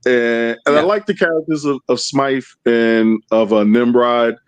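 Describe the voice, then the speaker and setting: Dude on a review podcast, average pitch, just chatting casually, really dry audio. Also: male voice, a little slow, smooth, no room sound.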